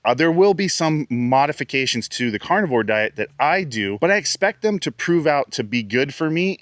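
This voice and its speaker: A man's voice: medium pitch, fairly bright tone, dry recording, studio quality. A man in his forties talking casually and earnestly to camera as a vlogger. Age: 40s. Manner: earnest, chatty and upbeat.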